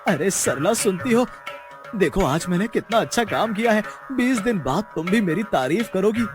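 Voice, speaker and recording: High-voiced, naive village husband. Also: male voice, lively intonation, normal speed, music in the background. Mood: proud, clueless. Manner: earnest, simple-minded. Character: naive, timid, foolish village husband eager for praise. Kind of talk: Hindi cartoon moral story acting.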